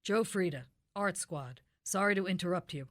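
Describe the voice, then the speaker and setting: A woman playing a deadpan, hard-boiled police detective in a radio cop-show parody, speaking in a clipped, officious way. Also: fairly low pitch, moderate pace, lightly acted.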